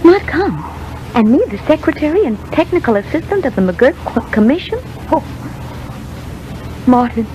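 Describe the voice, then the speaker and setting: High-voiced, devoted, stubborn young wife. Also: female voice, very animated, normal speed, pauses often, a little mellow. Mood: indignant. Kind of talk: 1930s radio drama acting. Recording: muffled, noisy 1930s radio broadcast.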